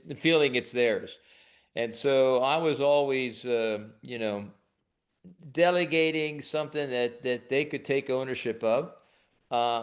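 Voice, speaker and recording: A middle-aged American man, a leadership expert, answering questions in a webinar interview in a relaxed, conversational way with frequent restarts. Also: medium pitch. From United States.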